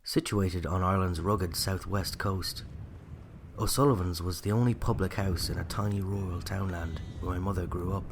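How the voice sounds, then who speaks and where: A man's voice: sits low, background noise. A man with an Irish accent narrating a scripted first-person story.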